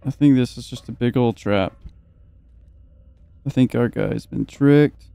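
Mellow young man doing gaming commentary. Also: medium pitch, a little slow, gravelly, smooth, dry recording. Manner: joking, sweary, reading game text aloud. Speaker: gaming video host. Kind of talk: casual let's play commentary. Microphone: close, dry microphone.

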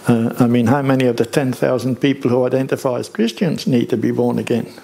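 An older man, preaching a sermon from a church stage in a conversational, unhurried way with rhetorical questions. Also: medium pitch, vocal fry, mellow.

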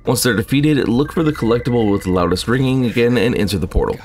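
A man's voice: mid-range voice, expressive, fast talker, a little mellow, a little hiss or hum. A man reading a scripted video game walkthrough in a plain, instructional way. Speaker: gaming guide narrator. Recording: faint game ambience behind voice-over.

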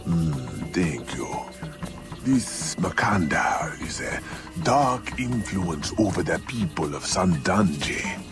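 A man's voice: deep voice, sing-song, slow, music in the background, exaggerated voice. A man voicing a grave, solemn mystic in a video game.

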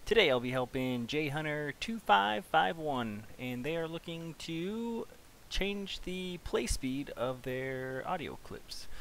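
A man hosting a casual software tutorial, talking informally and thinking aloud as he works. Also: on the high side. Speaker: tutorial host. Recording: clear background hiss.

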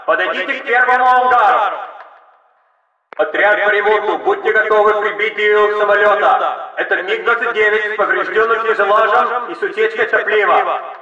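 An actor playing an official Russian military base announcer, making tannoy announcements over a loudspeaker in a video game. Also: male voice, high-pitched, far from the mic. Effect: loudspeaker announcement filter. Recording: echoing, muffled loudspeaker with long reverb.

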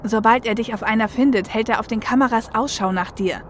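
A woman's voice: fairly high pitch, fairly quick, close to an everyday voice. A woman playing an analytical, level-headed shadowrunner and getaway driver in a fan audio drama. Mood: focused planning. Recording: faint car and traffic noise.

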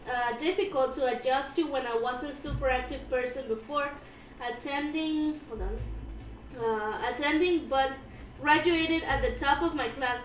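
A woman's voice: high-pitched, slight background noise. A giggly woman co-hosting a casual live stream, reading out and answering viewer comments, recorded in a small room. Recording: roomy, distant mic. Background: faint music.

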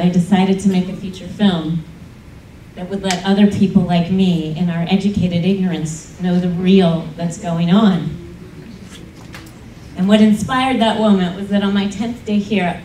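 A woman's voice: mid-range voice, darkish tone, slight background noise. A woman telling a personal story earnestly to a panel audience, with emphatic moments. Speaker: panel speaker. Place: conference room. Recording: roomy conference hall audio from a distance.

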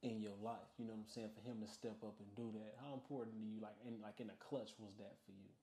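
A man's voice: fairly low pitch, fairly even intonation, fast, mellow. American male interviewer in an echoey room. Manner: affirming, slangy, frequent tag phrases. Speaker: interview host. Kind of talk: casual interview. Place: small music studio room. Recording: roomy indoor echo.

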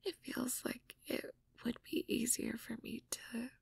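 A woman playing a shy, anxious young mage girlfriend in an intimate audio roleplay, hesitant and stammering in a breathy whisper. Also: a little high, unhurried, dry recording, full character voice. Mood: self-conscious, vulnerable. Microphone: close microphone, dry.

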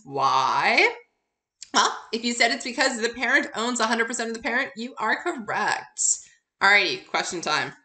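Bright-voiced woman teaching an informal lesson. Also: a little high. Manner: upbeat, encouraging, addresses listeners with questions. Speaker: accounting instructor. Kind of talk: lecture narrated over slides, informal.

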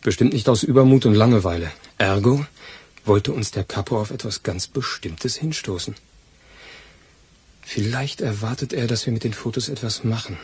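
A man's voice: on the low side, normal speed, a little mellow, husky. An actor playing an eager, deferential police detective who spins wordy theories to his boss in a German radio crime play. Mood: eagerly explaining.

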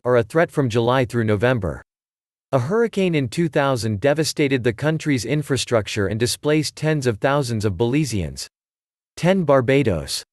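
A man's voice: mid-range voice, no echo. Man reading out facts, dry close sound. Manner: even, reading out facts and figures. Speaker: narrator. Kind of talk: scripted educational narration.

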